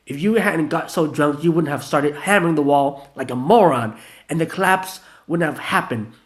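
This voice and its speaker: Man reading as a sharp-tongued boy, raised pitch, clipped and scolding, lively inflection. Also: normal speed. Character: snappish young boy, tense and blunt.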